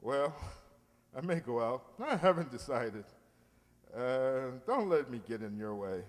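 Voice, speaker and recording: An actor playing a pompous, soft-hearted middle-aged uncle in old-time radio comedy style, blustery and hesitant by turns. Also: male voice, mid-range voice, big pitch swings, unhurried, long reverb tail. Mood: awkwardly polite.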